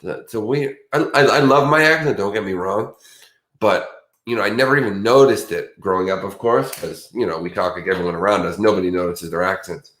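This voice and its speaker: A man's voice: lowish voice, a little fast, fairly bright tone. A man with a Boston accent, sleepy and chatting in a rambling, casual way as co-host of a true crime stream. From Boston area. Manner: meandering, chatty, restarts often. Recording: roomy echo.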